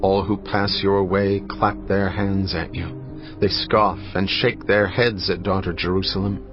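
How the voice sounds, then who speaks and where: A man narrating scripture in a dramatized style, with a solemn, measured, grave delivery. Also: sits low, fairly even intonation. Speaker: Bible narrator. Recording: slightly muffled, faint music behind.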